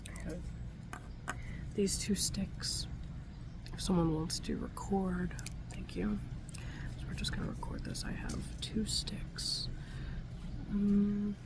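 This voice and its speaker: Hushed, half-whispering woman with flat intonation. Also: mid-range voice, slow, pauses often. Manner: hushed, hesitant, murmuring step by step. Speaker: ASMR performer playing a robot repairer. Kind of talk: ASMR roleplay, soft-spoken and whispered.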